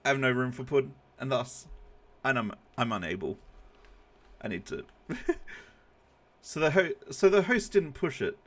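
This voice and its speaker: A man's voice: mid-range voice, lively intonation, measured pace, pauses often. A man hosting a playful group read-aloud, mixing storybook reading with casual banter.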